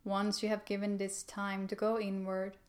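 A woman leading a guided meditation in a calm, gentle, unhurried manner with pauses. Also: mid-range voice.

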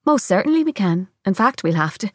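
A woman's voice: mid-range voice, big pitch swings, a little fast, dry recording, studio quality. Woman reading as a playful, wry young woman. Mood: playful, teasing. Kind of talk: audiobook-style novel reading.

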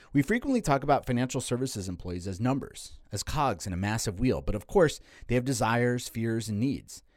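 A man hosting a podcast, reading a clear, steady introduction and asking guests questions. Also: mid-range voice, no room sound, clean studio sound. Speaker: podcast host. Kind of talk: scripted podcast intro and interviewing.

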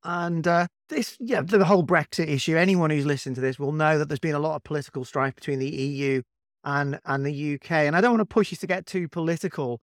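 A man's voice: on the high side, fast, no room sound, clean studio sound. A man hosting an interview, asking conversational, probing questions. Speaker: podcast host, interviewer.

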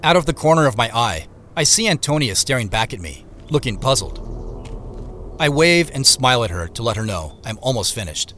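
Expressive male mystery narrator. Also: medium pitch, faint music behind. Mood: watchful. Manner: descriptive, measured storytelling. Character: observant male first-person narrator of a mystery story. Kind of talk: scripted audio drama narration.

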